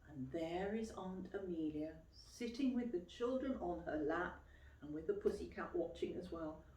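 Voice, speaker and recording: Echoey, slow-paced woman reading to children. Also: lowish voice. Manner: expressive, clearly phrased, explaining and questioning as to young children. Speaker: teacher reading a picture book aloud to children. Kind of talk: children's storytime read-aloud with questions to listeners. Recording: roomy, echoey, distant microphone.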